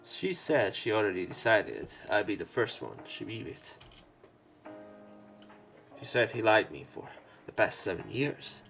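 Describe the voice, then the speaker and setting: A man's voice: on the low side, animated, measured pace, gravelly, a bit bright, soft background music. A man hosting a let's play, reading a visual novel's narration and dialogue aloud.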